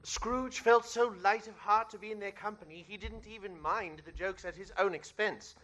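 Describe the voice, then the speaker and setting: Man as bright-voiced dramatic storyteller. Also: high-pitched, moderate pace. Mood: solemn, suspenseful. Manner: dramatic, literary. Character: measured storyteller narrating a Victorian ghost tale. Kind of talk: scripted radio play narration.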